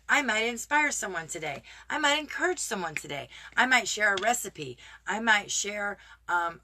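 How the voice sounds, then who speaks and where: Woman host, bright and a touch raspy; warm-hearted pep talk straight into a phone camera. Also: medium pitch. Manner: earnest, encouraging, greets viewers by name. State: slightly raspy voice. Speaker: motivational live streamer. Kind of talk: casual motivational talk to viewers.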